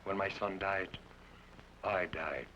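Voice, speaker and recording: A proud, grieving village blacksmith speaks with a bitter defiance. His tone is bright and cutting. The recording is a dull, faintly hissy old radio broadcast. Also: sits low, slow pace, heavily acted. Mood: defiant. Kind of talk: 1940s radio acting.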